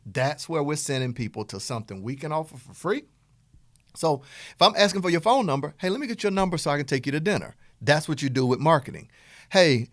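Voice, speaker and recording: A man's voice: mid-range voice, no echo, studio quality. A man with a Southern US accent, hosting a podcast and casually teaching business strategy with playful acted-out dialogue. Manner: animated, playful, acts out dialogue. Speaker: podcast host, business coach.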